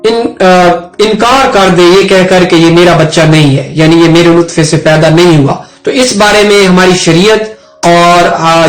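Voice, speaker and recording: A man with a Pakistani accent giving an informal explanatory law lesson, conversational and often repeating and rephrasing his points. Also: high-pitched. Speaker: advocate and legal educator. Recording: roomy echo, music underneath.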